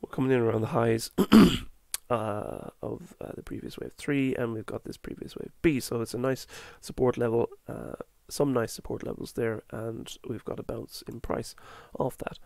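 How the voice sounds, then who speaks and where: Male market analyst, gravelly and losing his voice, halting delivery, dry close sound. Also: mid-range voice, a little mellow, no room sound. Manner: halting, fillers, self-correcting. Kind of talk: chart walkthrough.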